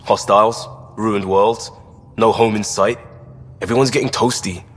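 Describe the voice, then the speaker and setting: A man's voice: fairly low pitch, fairly even intonation, measured pace, long reverb tail, faint music behind. An actor playing an easygoing, wry male starship crew member in a video game, with a casual, dry delivery. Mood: wry, upbeat. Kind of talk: scripted video game acting.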